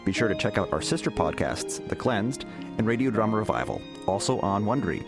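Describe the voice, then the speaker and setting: A man's voice: fairly low pitch, sing-song, brisk. A man reading scripted credits as a podcast announcer. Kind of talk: scripted narration. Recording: loud guitar music under the voice.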